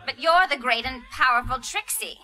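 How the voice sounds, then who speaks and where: A woman voicing a dim-witted, excitable young colt at a live script reading, shrill and goofy. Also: normal speed, poor audio. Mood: eager.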